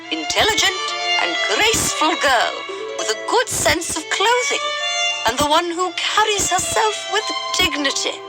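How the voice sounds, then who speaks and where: A woman's voice: high-pitched, low-quality recording. A woman voicing a possessive, imperious queen mother in a storybook fairy tale, with music behind her. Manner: imperious, instructive, disapproving. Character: possessive, haughty queen mother with exacting standards.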